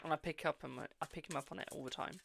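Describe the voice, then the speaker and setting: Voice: mid-range voice, racing, gravelly, fairly bright tone, a little hiss or hum. A tarot reader from England with a posh-sounding English accent, hosting a live stream, chatting casually, swearing freely and calling viewers "my darling". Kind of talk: live-stream card reading and casual chat.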